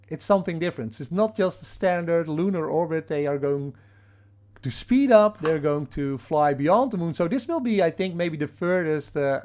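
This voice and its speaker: A man with a Dutch accent talks loosely to his livestream chat from home, restarting sentences and chuckling as he goes. His voice sits a little on the high side for a man. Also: darkish tone. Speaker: livestream host.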